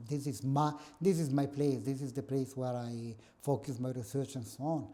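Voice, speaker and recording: A man's voice: mid-range voice, fairly even intonation, normal speed, warm tone. A middle-aged man from northern Italy with an Italian accent, a guest professor joking dryly about himself in a casual interview, recorded in a small office room. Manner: dry joking, self-mocking.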